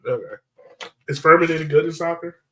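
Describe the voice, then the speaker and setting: A man's voice: a little high, moderate pace, bright, forceful tone. A man hosting a casual sports podcast, talking in a chatty, informal way.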